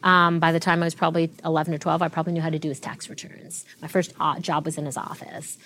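Woman in her forties, somewhat low voice, animated and fluent, slight background hiss. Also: fast. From Canada. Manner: fluent, animated storytelling with frequent restarts. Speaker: tech executive guest. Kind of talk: interview conversation.

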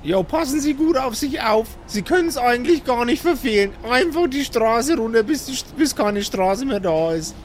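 Man putting on a high-pitched chatty old lady. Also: animated, moderate pace, few pauses, slight background noise. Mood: chatty, nosy. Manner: folksy, chatty, repeats phrases. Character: very small chatty nosy old woman in glasses.

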